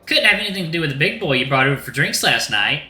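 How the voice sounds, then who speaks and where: A man's voice: a little high, sing-song, quick pace, bright, forceful tone, reverberant, pitched above the performer's own voice, full character voice. A man voicing a flamboyant, sassy rooster drag queen bar owner with a folksy, effusive Southern American accent. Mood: sassy, welcoming. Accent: put-on American Southern. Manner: folksy, effusive, uses terms of endearment.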